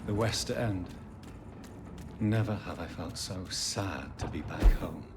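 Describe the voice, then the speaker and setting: An actor playing a grave, educated gentleman doctor turned vampire in a video game, with a British accent and a formal, guarded manner. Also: low voice, slow pace, heavy background noise. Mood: brooding. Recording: loud orchestral music behind.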